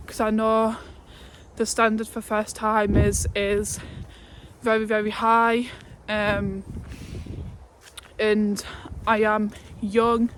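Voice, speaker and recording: Slow-talking young woman vlogger. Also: medium pitch, a little hiss or hum. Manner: chatty, with laughs and fillers. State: out of breath while walking uphill. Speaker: fitness vlogger. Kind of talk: casual vlog talk to camera. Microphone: phone held at arm's length.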